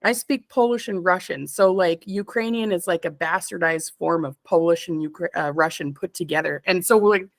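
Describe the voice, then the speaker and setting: A woman's voice: lowish voice, moderate pace, few pauses, no echo. A woman in her thirties from Canada, chatting casually as an interview guest, rambling and laughing often. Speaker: interview guest, stone fabricator. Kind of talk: casual chat.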